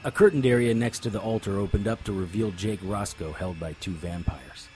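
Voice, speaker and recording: A man's voice: on the low side, a little fast, a little mellow, soft background music, lightly acted, low-quality recording. An actor playing a tough, determined private investigator in an audio drama, terse and serious as he narrates and speaks. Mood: tense narration. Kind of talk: first-person audio drama narration and dialogue.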